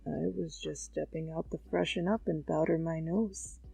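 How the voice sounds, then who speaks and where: A calm older man speaks slowly and softly, with a sly gentleness, as a woman narrator puts him on. The voice is lower and quieter than her own. It is dry and close, with faint music behind. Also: gravelly, slightly rough, dry recording, heavily acted. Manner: slow, soft, unhurried.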